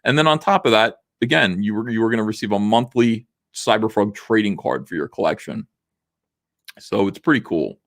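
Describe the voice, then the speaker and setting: A man in his forties hosting a casual livestream, reading viewer messages aloud, rambling with lots of fillers while his voice is going rough. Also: lowish voice, expressive, normal speed, fairly bright tone. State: losing his voice. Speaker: livestream host. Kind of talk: casual livestream chat reading super chats.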